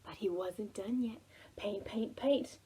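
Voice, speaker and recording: A woman hosting a preschool storytime, telling stories to young children in an expressive way, with drawn-out words and soft, hushed phrases. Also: medium pitch, background noise. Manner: addresses children directly with simple questions.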